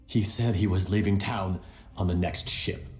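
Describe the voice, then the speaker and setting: An actor playing a hostile, urgent member of a guard order in a fantasy video game. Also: male voice, deep voice, steady tone, moderate pace, darkish tone, close to an everyday voice. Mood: wary, hostile. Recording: roomy echo, faint music behind.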